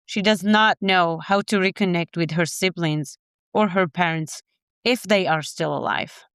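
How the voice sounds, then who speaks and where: A woman from Morocco co-hosting a podcast, calmly discussing a short story. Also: lowish voice, unhurried, clean tone, dry recording, studio quality. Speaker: podcast co-host. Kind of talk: literary discussion.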